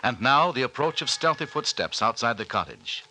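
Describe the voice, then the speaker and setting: A man's voice: medium pitch, expressive, normal speed, sharp tone, faint music behind, rough recording. A man as a suspense-building narrator, delivering ominous, theatrical narration in melodramatic 1940s radio style. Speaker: announcer and narrator. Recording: hissy old radio recording.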